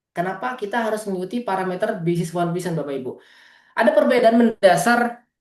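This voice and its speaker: Man, East Java origin, motivational business presenter on a video call; earnest, addresses listeners directly; echoey room sound. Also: sits high. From East Java, Indonesia. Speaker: business presenter at an online meeting. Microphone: video call microphone.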